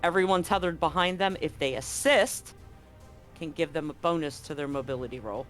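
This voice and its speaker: Male game master, higher register, conversational rules talk with dramatic scene narration, faint music underneath. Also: normal speed. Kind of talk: running a live tabletop sci-fi roleplaying game, explaining rules and narrating. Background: soft background music.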